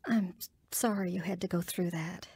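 A woman's voice: fairly low pitch, moderate pace, a little mellow, husky, no room sound, clean studio sound. An actress playing a pragmatic young woman in an audio drama, reassuring and coaxing her friend. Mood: consoling. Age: young adult.

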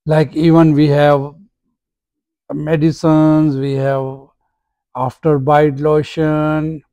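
A man talks slowly through his family camping trip, describing what he sees as he films on a phone. His voice is gravelly and mellow, sitting a little high with a flat, even delivery. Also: no echo, muffled recording. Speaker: vlogger. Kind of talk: casual travel vlog narration.

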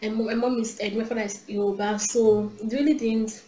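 Nigerian woman in her twenties, chatty home vlogger, higher voice, roomy echo and faint hum. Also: a little fast. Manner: chatty, giggly, animated hand gestures. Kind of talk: casual chat to camera. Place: small indoor room at home.

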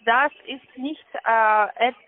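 High-pitched woman with foreign accent, phone line. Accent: foreign, non-native German. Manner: insistent, halting, repetitive instructions. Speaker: call centre agent selling a trading account. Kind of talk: phone call giving step-by-step instructions. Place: phone call. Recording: tinny band-limited phone line.

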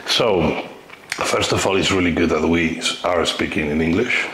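A man's voice: low-pitched, fairly bright tone. A middle-aged man hosting a massage video, talking casually with many fillers and restarts, recorded on a lapel mic in a roomy indoor room. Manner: halting, many fillers and restarts, drops to a whisper while massaging. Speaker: massage therapist and host. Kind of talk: casual chat and whispered ASMR massage talk. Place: bright indoor room of an apartment. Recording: roomy room echo, faint background noise.

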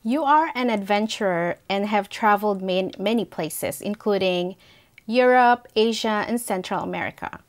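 A middle-aged woman hosting an interview, delivering a scripted welcome and questions in a measured, deliberate way. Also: medium pitch. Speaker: host. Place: indoor studio against a brick wall.

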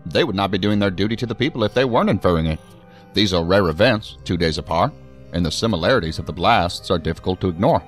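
A man playing a weary, dryly witty federal assistant director in an audio drama, speaking in a formal, measured, articulate way. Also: sits low, expressive, soft background music. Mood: controlled, formal.

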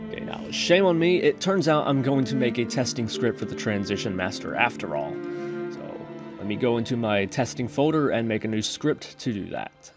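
A man's voice: medium pitch, lively intonation, moderate pace, over music. A man hosting a casual coding tutorial, talking through each step in an informal, chatty way. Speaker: tutorial host. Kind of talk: casual screen-recorded coding tutorial narration.

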